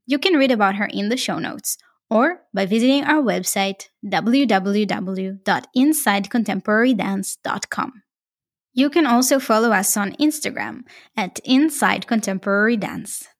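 A woman with an Israeli accent hosting a podcast, effusive and encouraging as she thanks her guest and reads her outro. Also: a little high. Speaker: podcast host. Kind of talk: warm interview wrap-up and scripted outro.

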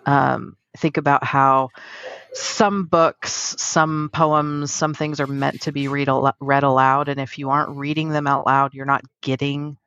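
A middle-aged woman hosts a casual podcast conversation with a creaky edge to her voice. She speaks reflectively, in a close, dry recording that sounds a little muffled. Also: very deep voice, flat delivery, measured pace, a bit bright, no room sound. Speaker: podcast host.

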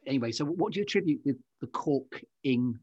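A man's voice: medium pitch, expressive, fairly quick, darkish tone, husky, no echo. A man hosting a podcast interview, speaking in an effusive, flowery, conversational way. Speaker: podcast host. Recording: dry, slightly dull lo-fi audio.

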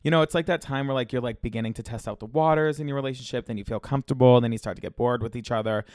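A man's voice: mid-range voice, very fast, a little mellow, no echo. A young man rambles through his solo podcast, chatty and foul-mouthed, with a campy, gossipy delivery. He has a cold, so his throat is a bit scratchy and congested. The sound is close and dry with almost no room around it. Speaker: podcast host. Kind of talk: casual solo podcast monologue.

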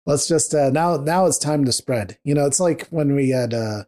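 Mellow-toned co-host, rambles and jokes through a casual book chat. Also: medium pitch, moderate pace. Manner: rambling, joking, many fillers and restarts. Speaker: podcast co-host.

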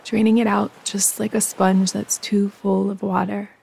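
A woman guiding a yoga nidra meditation in a soft, breathy voice, calm, soothing and unhurried. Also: medium pitch, low-quality recording. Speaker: meditation guide and host.

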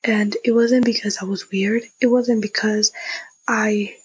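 Husky, breathy nineteen-year-old woman telling her story. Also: mid-range voice, fairly even intonation, measured pace, dry recording. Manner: youthful conversational, emphatic repetition. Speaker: personal storyteller. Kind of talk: candid personal storytelling, casual.

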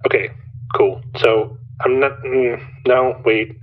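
An actor playing a defensive, cagey anonymous radio caller, hesitant and self-correcting, through a phone-line filter in an audio drama. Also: male voice, mid-range voice, fairly even intonation, slow pace. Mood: nervous, defensive. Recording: thin phone-like band-limited line.

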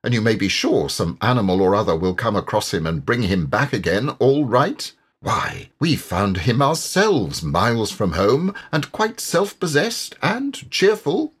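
A man's voice: fairly low pitch, very animated, measured pace, husky, heavily acted. A man voicing a loyal, good-natured mole in an audiobook, eager and simple-hearted. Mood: light, breezy. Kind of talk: audiobook character voice.

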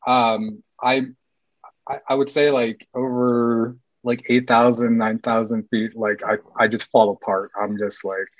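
A man in his late thirties, raised in Sweden and California, chatting as a podcast guest in a loose, rambling way full of "like" fillers and quick laughs. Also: medium pitch, level tone, no room sound, dull audio. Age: late 30s. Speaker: podcast guest, cycling team runner. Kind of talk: casual interview chat.

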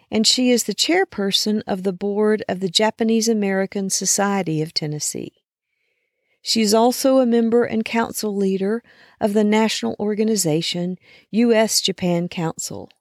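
A woman's voice: medium pitch, a little mellow, dry recording, clean studio sound. A woman hosting an interview, reading a prepared introduction and putting questions to her guest. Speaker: interviewer and host.

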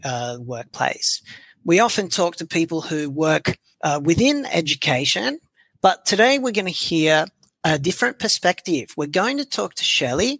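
A man's voice: on the high side, sharp tone, no room sound. A man hosting a podcast, introducing his guest and asking questions in a friendly way, with frequent ums and restarts. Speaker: podcast host.

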